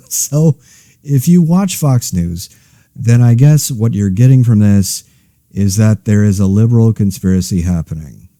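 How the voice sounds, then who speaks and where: A man giving a casual, rambling mock news commentary in a wry, deadpan, self-interrupting way, as the host of a satirical podcast. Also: on the low side, measured pace, no room sound, clean studio sound.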